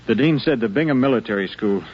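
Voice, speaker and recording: A man acts out a troubled young male student in a 1950s radio play. He is urgent and earnest, pressing his point at an average male pitch. The recording is dull and faintly noisy. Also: lively intonation, fast, close to an everyday voice. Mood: anxious, urgent. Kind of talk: 1950s radio drama acting.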